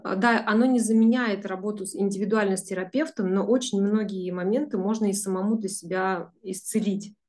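A woman's voice: mid-range voice, steady tone, a little fast, hardly any pauses, darkish tone. A woman in her forties, a business psychologist, earnestly explaining to a group over a video call, with many fillers. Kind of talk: online group talk, explaining.

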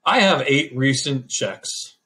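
Middle-aged guy rambling through a screen share, mid pitch, chill and self-correcting, webcam sound. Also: expressive, slow pace, pushed and bright. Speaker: channel host explaining credit scores. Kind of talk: casual screen-share walkthrough.

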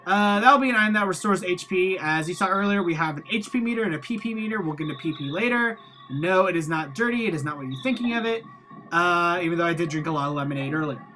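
Bright chatty let's-play voice. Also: medium pitch, fast. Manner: rambling, chatty, reads game text aloud. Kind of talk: casual gameplay commentary. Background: faint game music.

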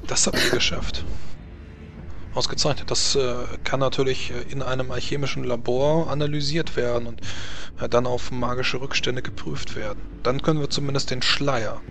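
A man playing a scholarly, helpful court mage in a tabletop game, speaking in a measured, formal, hesitant way. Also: mid-range voice, little pitch movement, a bit bright, natural voice. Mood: earnest. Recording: music behind the voice.

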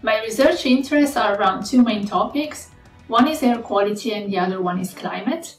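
A woman's voice: medium pitch, normal speed, faint music behind. Woman, 30s, Italian accent, lecturer reading a prepared talk; earnest, careful delivery; slightly distant mic with room echo. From Italy. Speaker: university lecturer. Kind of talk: scripted talk to camera. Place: small room indoors.

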